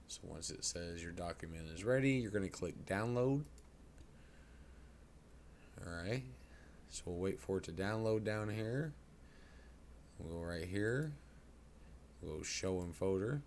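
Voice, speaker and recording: A man presenting a casual software tutorial in a folksy, informal way. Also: fairly low pitch. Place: indoors at a computer. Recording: clear background noise.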